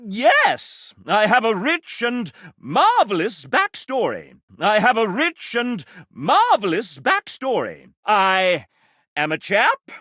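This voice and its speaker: A pompous, sassy gentleman mascot from a video game, speaks in a British accent with grand theatrical flair. His voice sits somewhat high and leaps dramatically across its range. The sound is dry and close, with every flourish played up. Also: wildly swooping pitch, slow, no room sound, heavily acted. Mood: proud, playful. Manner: flamboyant, pompous, exaggerated dandy delivery.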